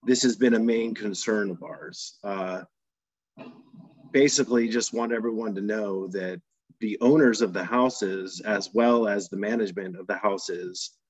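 A man's voice: fairly low pitch, a little slow, no room sound. A man who runs short-term rentals, making a plain public comment full of fillers on a call. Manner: plain, filler-heavy, clears throat. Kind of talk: public comment, spoken loosely. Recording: dry, dull call audio.

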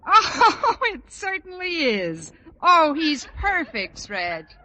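An actress playing a scheming, wisecracking schoolteacher in a 1940s radio sitcom, with a dry, sarcastic delivery. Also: female voice, high voice, big pitch swings, full character voice. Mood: mocking. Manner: dry, sarcastic, fast-talking when cornered. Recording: noisy old radio recording, long hall reverb.

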